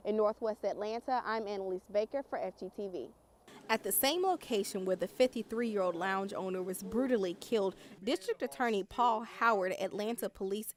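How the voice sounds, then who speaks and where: A woman with an American accent reads scripted narration as a television news reporter. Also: mid-range voice.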